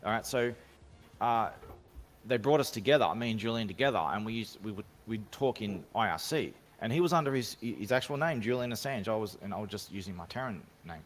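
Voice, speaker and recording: A man's voice: on the low side, lively intonation, a little fast, a little hiss or hum. A man with an Australian accent, talking casually as a guest on a remote call, rambling and self-assured with frequent restarts. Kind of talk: casual podcast storytelling. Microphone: home microphone, remote call.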